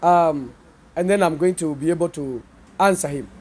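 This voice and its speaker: A middle-aged man with a Kenyan accent, a pastor speaking earnestly and haltingly in an interview, pausing and repeating phrases. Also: on the high side, a little mellow, slightly rough. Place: seated indoors in a small studio set. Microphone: handheld interview microphones held by the interviewer.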